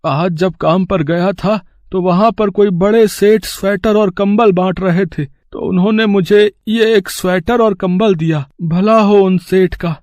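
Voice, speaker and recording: A man voicing a poor, kindly, selfless older family man in an animated moral story, affectionate and reassuring. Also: high-pitched, unhurried, few pauses, no echo, studio quality.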